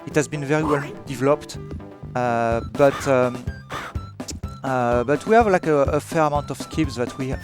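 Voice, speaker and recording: A man's voice: mid-range voice, normal speed. French man commentating a speedrun. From France. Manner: hesitant, many fillers and restarts. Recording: game music playing behind.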